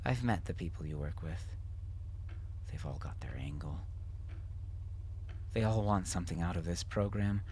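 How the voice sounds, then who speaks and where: A man voicing a bitter, anguished wife and mother with a sharp, sardonic manner in an audiobook drama. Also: deep voice, moderate pace, long pauses, background noise, close to an everyday voice. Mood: hushed, hurt. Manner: sharp, sardonic, sometimes near whispering.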